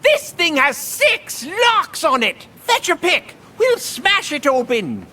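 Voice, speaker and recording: A woman voicing a scheming, gloating pirate crew member in an animated adventure, loud, comic and theatrical. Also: very high voice, slow pace, exaggerated voice. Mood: urgent.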